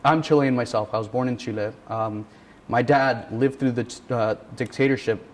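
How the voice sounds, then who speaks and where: A man answering interview questions about a play, speaking thoughtfully with pauses and ums. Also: medium pitch, fairly even intonation. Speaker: student director interviewee.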